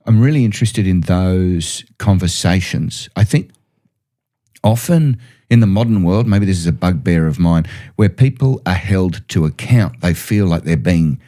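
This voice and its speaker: A man with a very deep, mellow voice hosts a reflective conversation. He talks through his thoughts and repeats phrases to drive them home. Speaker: podcast host. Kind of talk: reflective discussion of a story.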